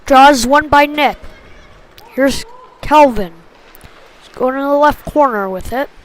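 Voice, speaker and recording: A woman's voice: high voice, slow pace, low-quality recording. A woman doing live play-by-play hockey commentary in short, clipped phrases that follow the action.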